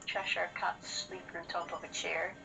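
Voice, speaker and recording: A woman's voice: on the low side, little pitch movement, normal speed, gravelly, rough recording. A woman co-hosting a gaming channel, chatting casually with teasing, slangy banter during a let's play. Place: indoors, in front of a TV. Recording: game music over the talk. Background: video game music.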